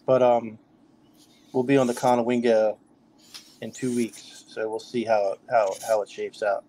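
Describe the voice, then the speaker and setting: A middle-aged man talks in a loose, rambling way and keeps restarting his sentences. His pitch stays fairly level and flat throughout. It is relaxed, casual podcast guest talk. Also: unhurried, slight background noise, poor audio. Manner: loose, filler-heavy talk with many restarts. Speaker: kayak fishing trail director.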